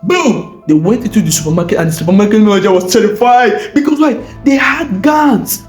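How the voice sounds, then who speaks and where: A man with a West African accent telling a story in an animated, informal way, full of exclamations, repeated phrases and rhetorical questions. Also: high voice, fairly quick. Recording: roomy echo, faint music bed.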